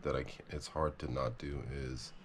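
A man with an extremely deep voice talks through a repair at his workbench in a loose, unhurried way, thinking out loud and owning his mistakes. Steady background noise sits under his voice throughout. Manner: relaxed, thinking aloud, self-deprecating. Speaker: reel repair technician. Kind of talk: casual how-to narration while working. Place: workbench, indoors.

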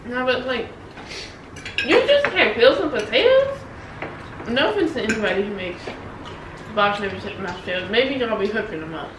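A woman's voice: fairly high pitch, very animated, moderate pace, long pauses, background noise. A young woman at a family dinner, giving her opinions with teasing asides. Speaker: family member. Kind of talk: casual family dinner chat. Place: dining room at home. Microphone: far camera across table. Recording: echoey room, distant mic, table clatter.

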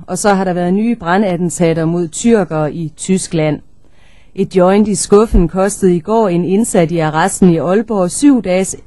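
A female newsreader delivers scripted radio bulletins. Her voice is dark and relaxed, sitting a bit low for a woman. The studio sound is dry and close. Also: no room sound. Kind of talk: scripted radio news reading. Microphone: studio microphone.

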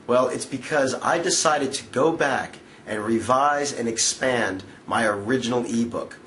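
A man's voice: medium pitch, a bit bright, slight background noise. Eager young man selling his course. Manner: enthusiastic, conversational, with self-corrections. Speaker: restaurant magician pitching his course. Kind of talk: direct-to-camera sales pitch. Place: indoor room, seated on a patterned couch.

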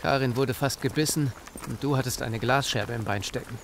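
A man's voice: medium pitch, fairly quick, noisy background, natural voice. A man playing a sceptical, cautious survivor in a horror audio drama.

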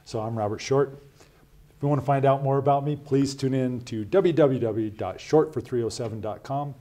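A man's voice: medium pitch, dark tone. A man in his fifties from Wyoming, a Senate candidate answering interview questions in a small TV studio, earnest and emphatic, often tagging "right?" onto his points. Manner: earnest, measured, emphatic with rhetorical "right?" asides. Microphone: lapel.

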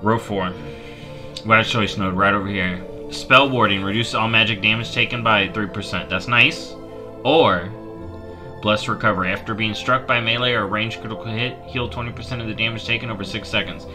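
A man's voice: on the low side, fairly even intonation, normal speed, over music. A man in his thirties on a headset, reading game tooltips aloud on a live stream and reacting with playful mock outrage. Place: small dark room at home.

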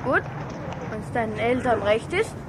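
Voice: medium pitch, lively intonation, measured pace. A young performer playing a proud, defiant poor boy in a 1960s German radio play. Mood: polite. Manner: defiant, forthright. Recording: noisy old radio play with street ambience.

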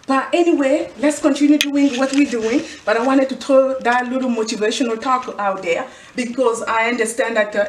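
A middle-aged woman from the Congo with a Congolese accent, giving an earnest, preachy motivational talk to camera and often repeating a rhetorical question. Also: fairly high pitch. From Congo, Central Africa. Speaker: fashion vlogger and clothing designer. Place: indoor room with clothing rack. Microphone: far camera. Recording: echoey room from far off.

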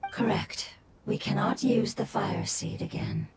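A woman voicing a gentle, solemn jellyfish-like water spirit in a video game, in a breathy, measured, earnest way. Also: mid-range voice, very noisy, close to an everyday voice. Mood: earnest, pleading. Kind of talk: scripted video game dialogue. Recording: loud music under the voice.

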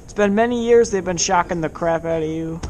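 A young man chatting casually and reacting excitedly as an exploration vlogger, recorded on a handheld camera. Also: sits high, a little fast. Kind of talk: casual chat while exploring. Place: dark abandoned haunted attraction, indoors and out. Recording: noisy handheld camera audio.

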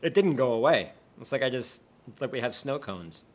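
A man in his thirties co-hosting a beer tasting show with animated, informal banter. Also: mid-range voice, moderate pace. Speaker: beer review show co-host. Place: small indoor set with curtain backdrop, seated.

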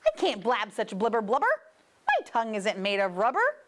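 Woman voicing a flustered, high-pitched storybook creature, Mr. Knox. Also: huge pitch swings, moderate pace. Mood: exasperated. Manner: pleading, complaining.

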